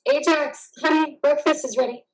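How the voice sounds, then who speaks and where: A woman playing a gentle, affectionate, supportive wife in an amateur play reading. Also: sits high, steady tone, normal speed, distant mic, close to an everyday voice, low-quality recording. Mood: tender, coaxing. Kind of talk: amateur reader's theater over video.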